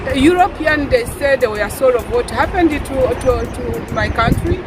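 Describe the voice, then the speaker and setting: A woman, originally from Rwanda and raised in Uganda, speaking earnestly and haltingly as a protester being interviewed outdoors. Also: high voice, expressive. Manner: earnest, pleading, halting with fillers. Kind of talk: impassioned street interview. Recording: outdoor protest with music and crowd noise. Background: music and crowd.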